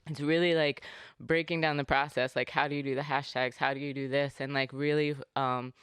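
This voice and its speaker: A man hosting a podcast in casual, rambling talk, full of fillers and animated asides. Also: medium pitch, a little fast, smooth, no echo, studio quality. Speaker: podcast host.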